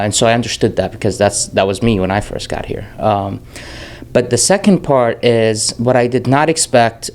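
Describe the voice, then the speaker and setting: A man in his thirties who grew up in Jordan answers interview questions in a low voice. He talks candidly and rambles a little, with many restarts. A faint room hum sits behind him. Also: a little mellow. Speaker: filmmaker guest. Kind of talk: casual interview talk.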